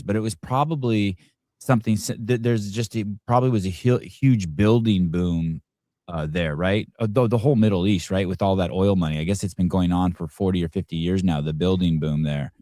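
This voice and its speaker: Middle-aged male host, live interview chat, low pitch, dry mic, lots of restarts and tangents. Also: brisk, warm tone, no room sound. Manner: rambling, self-deprecating, many restarts. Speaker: podcast host.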